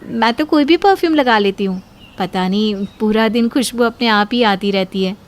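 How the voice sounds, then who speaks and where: A woman's voice: medium pitch, normal speed, natural voice. A woman voicing a sweet, modest and obliging young daughter-in-law in a cartoon. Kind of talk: cartoon dialogue.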